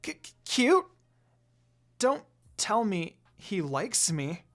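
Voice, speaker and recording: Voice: mid-range voice, big pitch swings, slow pace, pitched above the performer's own voice. A narrator voicing a kind, outspoken young priestess who is earnest and easily flustered. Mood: embarrassed, indignant.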